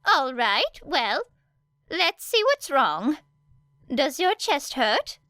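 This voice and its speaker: A woman voicing a fussy, scowling old lady nurse with a sharp, exasperated manner in an audiobook. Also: high voice, sing-song, drawn-out words, dry recording, voice pushed up, full character voice. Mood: fussy concern. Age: older. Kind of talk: audiobook character acting.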